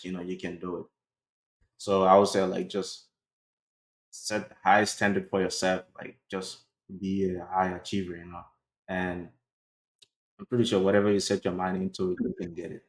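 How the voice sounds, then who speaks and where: Laid-back young man from Sudan, low voice. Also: poor audio. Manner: relaxed, frequent "like" and "bro". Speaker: guest, cloud computing worker. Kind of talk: casual interview answers.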